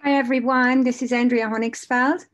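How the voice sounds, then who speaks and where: An upbeat woman with a Hungarian accent, presenting research over a video call. Her voice sits fairly high and she talks with eager energy. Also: fairly even intonation, moderate pace. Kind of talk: conference presentation.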